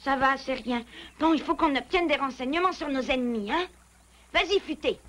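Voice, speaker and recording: Plucky, high-pitched young beaver kid. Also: female voice, moderate pace, fairly bright tone. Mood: resolute. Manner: feisty, decisive. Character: plucky, hot-headed young beaver child, natural leader. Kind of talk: French cartoon dub acting.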